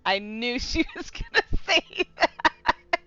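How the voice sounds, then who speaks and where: A woman streamer giving casual, giggly, excitable and profane live commentary on a game. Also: a little high, takes it slowly, highs cut off.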